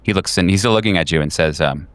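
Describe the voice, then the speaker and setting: A man working as game master, improvising tabletop narration in a halting, casual way, thinking aloud. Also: deep voice, clean tone, no room sound.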